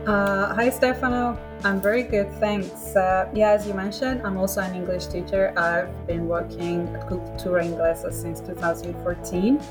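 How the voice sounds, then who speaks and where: A woman from Iran, a native Persian speaker, who talks as a podcast guest and English teacher in a thoughtful, halting way with frequent uhs. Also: medium pitch, flat delivery, over music. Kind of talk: casual podcast conversation.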